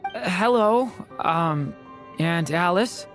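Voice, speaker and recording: A woman's voice: on the low side, expressive, slow pace, soft background music. A woman voicing a shy, apologetic young dragon boy in a video game, hesitant and polite. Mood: hesitant, curious. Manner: hesitant, polite, soft-hearted.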